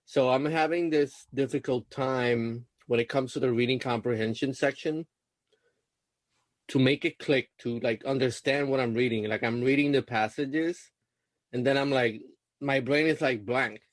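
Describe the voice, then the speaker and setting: A man's voice: medium pitch, moderate pace, dry recording. A young man from Puerto Rico whose first language is Spanish, talking hesitantly with many fillers on a video coaching call. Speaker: LSAT student being coached.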